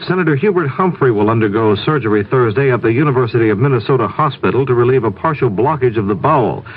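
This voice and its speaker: A man's voice: medium pitch, slight background noise. Male 1970s radio newsreader, narrow-band sound. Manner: crisp, matter-of-fact delivery. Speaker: radio news anchor. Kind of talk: scripted 1970s network news reading.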